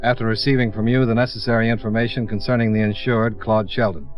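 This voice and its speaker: An actor playing a businesslike insurance investigator in a 1950s radio drama, clipped and matter-of-fact. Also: male voice, fairly low pitch, steady tone, fast, soft background music, natural voice. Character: cool, businesslike male freelance insurance investigator. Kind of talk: 1950s radio drama acting and first-person narration. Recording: dull old radio recording.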